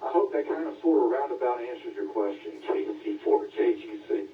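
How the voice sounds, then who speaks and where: A middle-aged or older man chatting casually over two-way radio, halting and rambling with many hesitations. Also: mid-range voice, expressive, slight background noise. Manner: halting, many long hesitations and filler words. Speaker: ham radio caller, long-haul trucker. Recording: tinny narrowband radio link, roomy, distant.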